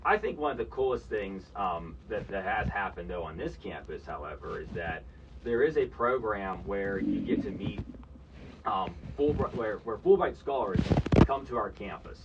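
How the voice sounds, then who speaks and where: A man's voice: on the low side, animated, moderate pace, far from the mic, dull audio, very noisy. Rambling young man on college radio. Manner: rambling, many restarts and fillers. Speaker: podcast host. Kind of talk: casual college radio chat. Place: college campus radio studio.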